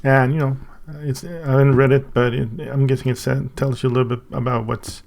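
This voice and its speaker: Swedish guy unboxing stuff, super gravelly voice, lots of stops and restarts. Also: mid-range voice, flat delivery, darkish tone. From Sweden. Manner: halting, thinking aloud with many restarts. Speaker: channel host. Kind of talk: casual unboxing review.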